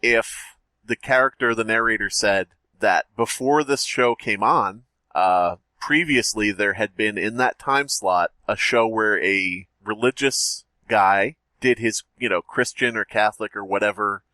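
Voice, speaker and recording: A man with a fairly low, bright, forceful voice riffs wryly on a story he reads aloud on a podcast. He laughs easily between lines. The mic is close and dry. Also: a little slow, few pauses, no room sound, rough recording. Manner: laughing, wry commentary. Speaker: podcast co-host. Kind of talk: casual chat and story reading.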